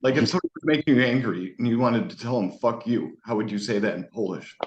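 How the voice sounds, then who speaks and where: A male host talks loosely and enthusiastically, swearing freely, in a middle-pitched voice. His audio sounds roomy and dull, like a lo-fi home setup. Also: quick pace. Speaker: podcast host. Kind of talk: casual interview chat.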